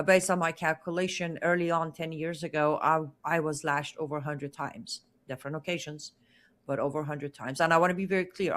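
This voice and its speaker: A woman's voice: deep voice, fairly bright tone. A woman whose first language is Farsi, a guest telling her own story in an interview with earnest, emphatic speech that repeats words for stress. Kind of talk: interview testimony.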